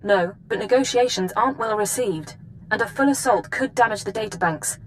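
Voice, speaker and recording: An actress playing a brisk, authoritative female intelligence chief briefing an agent in a video game. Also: medium pitch, slight background noise, lightly acted, poor audio. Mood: grave briefing. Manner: crisp, authoritative.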